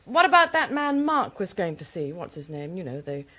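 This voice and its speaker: Detective's concerned wife, British, animated intonation, earnest questioning delivery, radio drama. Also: female voice, on the low side, moderate pace. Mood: doubtful, anxious.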